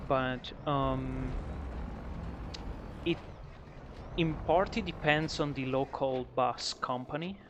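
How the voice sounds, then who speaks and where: A man's voice: mid-range voice, takes it slowly, pauses often, dull audio. A man from northern Italy, chatting casually and hesitantly to his viewers during a game stream, with lots of ums and restarts. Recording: steady background noise.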